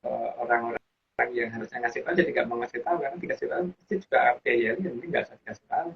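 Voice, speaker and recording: A man with a lilt talks at an everyday mid pitch, explaining at length. He loops back and restarts, leaning on fillers as he goes. The audio is dull and lo-fi, with a faint hiss underneath. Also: racing, gravelly. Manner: rambling, restarts with frequent fillers. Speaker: guest explaining teachings. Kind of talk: casual explanatory chat.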